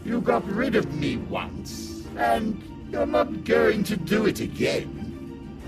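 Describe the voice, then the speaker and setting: A defiant, theatrical audio drama villain. The voice is high and swings dramatically, with words drawn out extremely slowly. Loud music plays underneath. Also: male voice, very animated, gravelly, full character voice. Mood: menacing.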